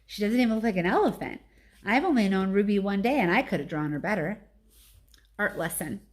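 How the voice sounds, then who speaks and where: A woman's voice: medium pitch, animated, a little fast. A woman reading a children's storybook aloud as a teacher, narrating chapter text with brief asides.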